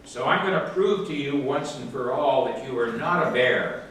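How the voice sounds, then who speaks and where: A smug, condescending company president, voiced by a man talks down to people in a calm, lecturing way. The voice rings in an echoey room and sounds a little far from the mic. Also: medium pitch, normal speed, slight background noise, close to an everyday voice.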